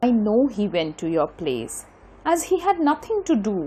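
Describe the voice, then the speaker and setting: A woman's voice: medium pitch, wildly swooping pitch, a little fast, mellow, husky, faint music behind. A woman acting an earnest, protective young woman in love in an amateur audio drama, speaking expressively with an Indian Bengali accent. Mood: placating. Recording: faint background noise.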